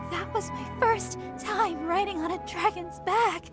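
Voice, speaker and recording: Sweet, shy, very high-voiced young woman. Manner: timid, gentle, hesitant. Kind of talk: anime game voice acting. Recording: music behind the voice.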